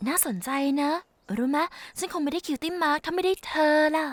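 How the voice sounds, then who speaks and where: A woman voicing a gentle, shy young female pegasus pony in a children's cartoon dub, soft-spoken and tender. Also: sits high, brisk, dry recording, lightly acted. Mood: gentle, kindly. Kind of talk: dubbed children's cartoon acting. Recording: music behind the voice.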